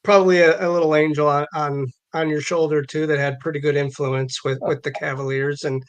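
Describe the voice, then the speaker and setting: An American man hosting a casual chat, halting and repeating words as he looks for his phrasing. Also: on the high side, little pitch movement, smooth. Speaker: live show host interviewing a guest.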